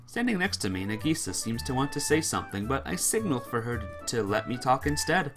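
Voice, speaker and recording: A woman's voice: a bass voice, big pitch swings, brisk, over music. A woman reading visual novel narration aloud as she plays through the game. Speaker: visual novel player and reader.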